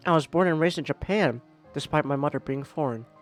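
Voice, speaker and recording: A man reading aloud plays a soft-spoken young woman who is blind. She stays polite and measured, speaking in a gentle, courteous way. The voice sits a little high, and quiet music plays underneath. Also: lightly acted. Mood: calm.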